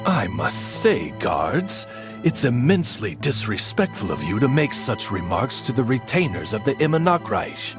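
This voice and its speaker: A man voicing a formal, polite raven retainer who interprets for royalty in a video game, with measured, erudite manners. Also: mid-range voice, very animated, over music. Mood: stern, polite.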